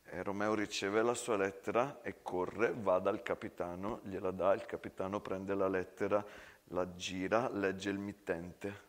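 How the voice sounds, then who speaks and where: A man narrating a theatre story deliberately, with dramatic pauses. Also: low voice, level tone. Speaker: actor and narrator. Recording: reverberant hall, music behind.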